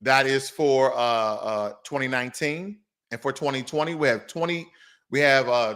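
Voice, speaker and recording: Man, American accent, medium pitch, commentary host, didactic, emphatic, walking viewers through data. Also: fairly bright tone. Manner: didactic, emphatic, often checking in with "okay" and "right". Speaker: host of a news commentary show. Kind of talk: commentary explaining charts to viewers.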